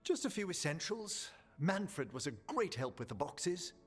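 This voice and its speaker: A man's voice: high voice, very animated, a little slow, music in the background. A man voicing a courteous, scholarly older necromancer professor in a video game, speaking politely and formally. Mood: gracious.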